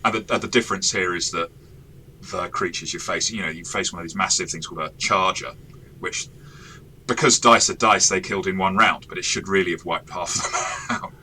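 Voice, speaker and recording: A man with a very low voice talks casually as game master, reviewing an adventure he ran. His tone is bright and forceful, and he talks in a chatty, self-deprecating way, restarting his sentences often. A faint hiss sits behind him. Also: a little fast.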